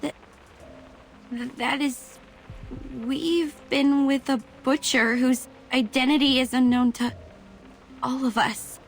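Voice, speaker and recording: Brash, high-pitched hot-tempered young director. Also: female voice, steady tone, slow, pauses often, over music, poor audio. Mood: confused, alarmed. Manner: combative, snappy. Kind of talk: dramatic video game voice acting.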